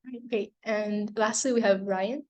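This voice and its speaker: Give me a woman moderating a student transfer panel. She is relaxed and conversational, filling pauses with "like" and "um" as she calls on speakers. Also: medium pitch, dull audio. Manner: casual, filler-heavy.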